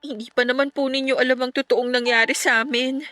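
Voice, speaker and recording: Young girlfriend, high voice, Filipino accent, gentle, polite, earnest, radio drama, faint music behind. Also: little pitch movement, fast talker, no echo, studio quality. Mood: meek, upset. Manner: earnest, deferential.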